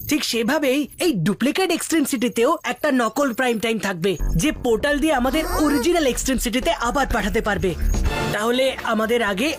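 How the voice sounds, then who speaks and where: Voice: medium pitch, lively intonation, fast talker, soft background music, lightly acted. An actor voicing a brave, confident young boy hero in a children's cartoon, bold and commanding. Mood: confident, explaining. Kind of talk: dubbed children's cartoon dialogue.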